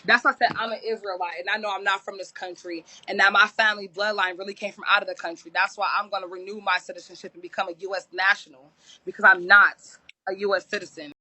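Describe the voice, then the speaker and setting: A woman's voice: medium pitch, fairly even intonation, fast, pushed and bright, dry recording. A woman talking at length in an interview clip, insistent and assertive, repeating her points. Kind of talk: rambling monologue. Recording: dull lo-fi clip.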